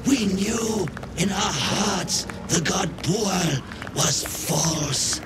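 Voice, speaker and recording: Casting: fanatical fish-creature cultist, high voice, very slow chanting declamation, game dialogue over loud music. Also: male voice, expressive, heavily acted. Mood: reverent. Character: zealous fish-folk cultist priest, fanatically devout. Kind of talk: video game voice acting.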